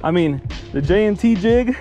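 A man vlogging while fishing on a canal bank, excited and repeating himself, filmed on a head-mounted camera. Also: high voice, moderate pace, muffled recording, faint music behind. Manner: excited, repeats "you guys", laughs often. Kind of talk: casual vlog commentary. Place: outdoors on a concrete aqueduct bank. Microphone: head-mounted action camera.

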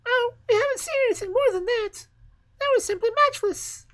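A woman voicing a flock of excited, gossiping sparrows in a storybook reading, with a shrill, rapid, twittering delivery.

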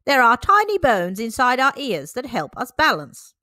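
A woman's voice: a little high, huge pitch swings, moderate pace, sharp tone, dry recording, studio quality, voice pushed up, heavily acted. A woman reading as a firm dog doctor. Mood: lecturing. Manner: firm and explanatory. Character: brisk, no-nonsense male dog doctor.

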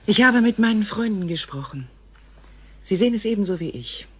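A woman playing a grave, gentle female alien visitor in a science fiction radio play, speaking in formal, measured phrases. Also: medium pitch, lively intonation, slight background noise, close to an everyday voice. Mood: earnest, inviting.

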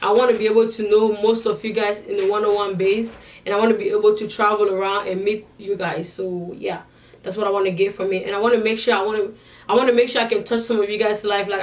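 A woman's voice: medium pitch, recorded from a distance. A young woman with an accent chatting to her viewers and answering their questions, with frequent restarts. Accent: non-native English accent. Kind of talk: casual Q&A vlog. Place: indoors, in front of a sequined backdrop.

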